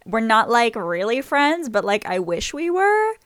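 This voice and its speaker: A woman's voice: on the high side, sing-song, a little slow, fairly bright tone, clear, resonant tone, no room sound, studio quality. A woman co-hosting a casual podcast, rambling and giggly, with lots of "like". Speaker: podcast co-host.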